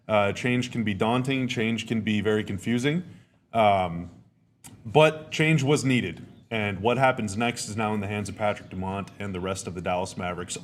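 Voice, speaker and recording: A young man with a raspy, slightly hoarse voice at an ordinary middle pitch hosts a relaxed live stream from his home and chats with his viewers. A faint hum runs under the recording. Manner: chatty, reads chat comments aloud. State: hoarse, dry sinuses. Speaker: podcast host. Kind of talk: casual live-stream chat. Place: home room.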